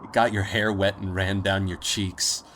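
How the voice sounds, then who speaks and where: A man playing a grave, tender, protective father in a post-apocalyptic audio drama, speaking deliberately and wearily. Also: low-pitched, level tone, fairly bright tone, a little hiss or hum. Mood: tender, wistful. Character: weary, protective father in a ruined post-war world.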